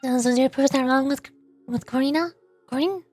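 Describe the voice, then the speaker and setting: A woman hosting a casual gaming livestream, chatting in an animated, playfully whiny way. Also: high-pitched, a little fast, soft background music. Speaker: VTuber livestream host.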